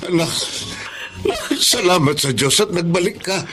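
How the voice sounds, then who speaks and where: An actor playing a gentle, forgiving middle-aged rural father in a Filipino radio drama, with a Filipino accent. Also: high-pitched, a little slow. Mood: warm, forgiving.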